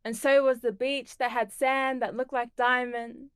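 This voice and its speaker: Slow, clear-voiced woman reciting poetry. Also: sits high, flat delivery, no room sound, clean studio sound. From Torres Strait Islands and Tonga. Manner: slow, measured and deliberate. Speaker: performing poet. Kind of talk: spoken word poetry performance.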